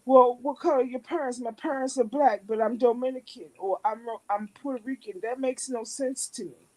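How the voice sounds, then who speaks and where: A woman in a casual discussion, asking a long, deliberate question. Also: on the high side, darkish tone.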